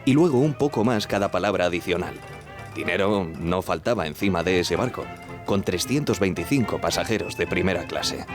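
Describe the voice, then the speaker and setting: A man playing a courteous ship's radio operator giving formal, measured testimony in an audio drama. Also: low-pitched, expressive, over music. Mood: measured recounting. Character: young British Titanic radio operator, courteous, recounting events. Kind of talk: dramatized first-person testimony in audio fiction.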